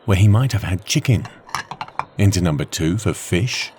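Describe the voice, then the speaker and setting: A man reading a scripted children's story in a measured, expressive way, with deliberate pauses. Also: low-pitched, background noise. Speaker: storybook narrator.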